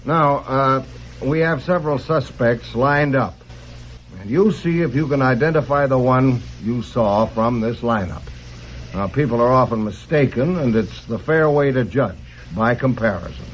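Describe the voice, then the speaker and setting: An actor playing a patient, businesslike police lieutenant in a mid-century American radio comedy, measured and official. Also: male voice, mid-range voice, close to an everyday voice. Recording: muffled, noisy old radio recording.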